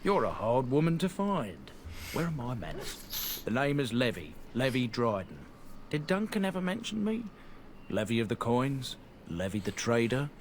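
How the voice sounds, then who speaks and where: Guy doing earnest rambling trader from a fantasy game, medium pitch, folksy, eager to please, slight background noise. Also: very animated, moderate pace, close to an everyday voice. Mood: eager, apologetic. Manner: rambling, folksy inverted phrasing. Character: chatty, deferential, earnest middle-aged merchant man. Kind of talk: video game dialogue acting.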